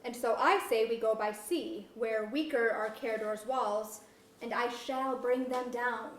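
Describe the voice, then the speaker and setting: A woman's voice: fairly high pitch, fairly even intonation, measured pace, a little hiss or hum, lightly acted. A woman playing a brooding, genderless magical royal in archaic verse at a staged reading. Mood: resolute. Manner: grand archaic verse delivery, self-questioning. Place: museum auditorium. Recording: distant microphone in echoey auditorium.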